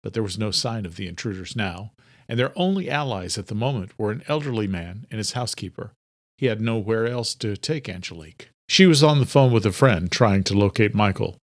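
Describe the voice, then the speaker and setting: A man's voice: fairly low pitch, lively intonation, brisk, dry recording. Dry-recorded male thriller audiobook narrator.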